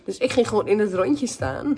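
A woman's voice: medium pitch, very animated, moderate pace, dark tone. A woman, chatting casually to her phone camera, laughing often and dropping in English phrases. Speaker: vlogger. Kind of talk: casual vlog chat. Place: indoors, then in a parked and driving car. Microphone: phone at arm's length.

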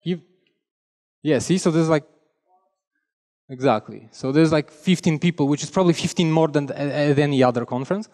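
A man's voice: on the high side, moderate pace, pauses often, darkish tone. Polish-accented man speaking in a reverberant hall. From Poland. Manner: chatty, self-deprecating, with frequent restarts. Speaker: conference speaker. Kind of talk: technical conference talk. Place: large conference hall stage. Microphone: headset mic.

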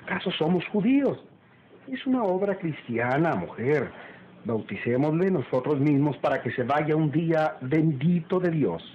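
Un hombre interpreta a un señor de la casa bondadoso y devoto, afable y persuasivo, en una radionovela antigua. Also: male voice, fairly high pitch, sing-song, normal speed, a little hiss or hum. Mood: insistent. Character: kindly, pious lord of the house. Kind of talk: old Spanish-language radio drama acting. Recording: muffled old radio drama recording, music behind.

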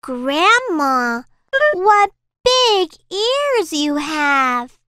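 A sweet, trusting little girl from a storybook cartoon. She speaks very slowly and innocently, with a lilting sing-song that moves up and down. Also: high-pitched, big pitch swings, bright, forceful tone, dry recording, clean studio sound, exaggerated voice. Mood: puzzled. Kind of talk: children's animated story acting.

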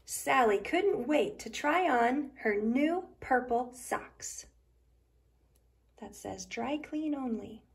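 A woman reads a picture book aloud for children. Her voice is high, and she takes her time, shaping each phrase with expressive, deliberate storytelling.

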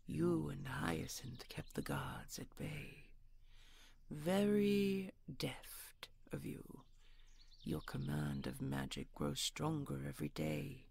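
A woman's voice: deep voice, animated, slow, vocal fry, a little mellow, breathy edge, full character voice. A woman playing a commanding, possessive dragon queen with a regal, formal manner in an audio roleplay. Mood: tender, proud. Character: commanding, possessive female dragon queen, tender to her beloved.

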